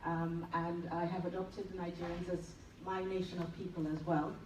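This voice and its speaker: A woman's voice: fairly low pitch, level tone, normal speed. Trinidadian woman speaking in an echoey hall. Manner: warm, enthusiastic and admiring. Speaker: nurse giving a tribute speech. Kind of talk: public tribute speech. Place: large event hall. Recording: echoey hall, distant, noisy.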